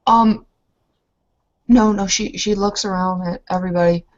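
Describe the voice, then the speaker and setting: A woman narrating her character in an improvised roleplaying game, speaking in a hesitant, halting way on a muffled recording. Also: mid-range voice, fairly even intonation. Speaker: tabletop roleplaying player.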